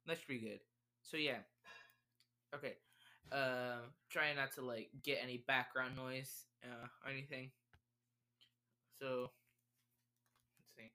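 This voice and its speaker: A man's voice: medium pitch, steady tone, slow, pauses often, pushed and bright. A man rambling and thinking aloud as he watches a show and takes notes, stopping often to correct himself. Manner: distracted, mutters words while writing them down. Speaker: video creator taking notes on a show. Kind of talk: casual live commentary while note-taking. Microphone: desk microphone, level changing during recording.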